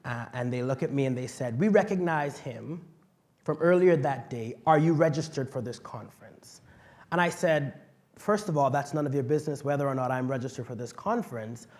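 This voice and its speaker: Young guy telling his story at a panel, gets loud then drops quiet, middle pitch voice. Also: a little fast. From Bahamas. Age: young adult. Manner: passionate and vocal, swinging from quiet to loud. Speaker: panel speaker. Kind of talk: personal storytelling at a panel talk.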